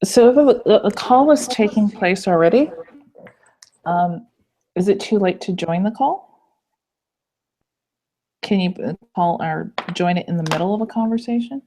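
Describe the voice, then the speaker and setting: A young woman in a student group video call, hoarse from illness, chatty and apologetic with lots of ums. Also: mid-range voice, measured pace, long pauses, dark tone, a little hiss or hum. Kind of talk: casual video call meeting. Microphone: computer mic on a video call. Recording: dull lo-fi call audio.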